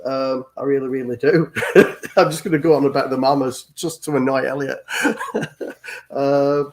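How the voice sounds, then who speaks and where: Mid-pitched male panelist, teasing and halting. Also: flat delivery. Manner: teasing, laughing, hesitant. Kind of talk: casual panel chat.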